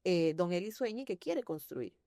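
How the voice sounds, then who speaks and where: A woman hosting a formal broadcast interview, speaking in a measured way. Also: lowish voice, animated, mellow, no room sound, clean studio sound. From Costa Rica.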